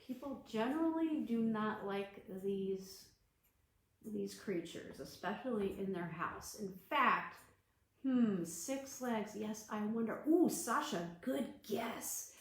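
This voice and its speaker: A woman librarian reading a storybook aloud to children on a livestream, gentle and encouraging. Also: mid-range voice, lively intonation, slow. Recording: echoey room.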